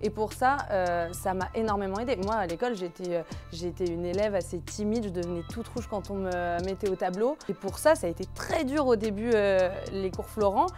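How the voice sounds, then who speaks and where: A woman's voice: medium pitch, brisk, hardly any pauses, a little mellow. A young woman from the Paris region, a TV news journalist, explaining earnestly with many fillers in a casual interview. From Paris region, France. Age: young adult. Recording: music clearly underneath.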